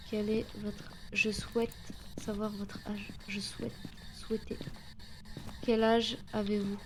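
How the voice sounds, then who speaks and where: A woman's voice: medium pitch, a little hiss or hum, poor audio. A woman streamer commentating a ghost-hunting game, patiently repeating questions and reacting with sudden surprise.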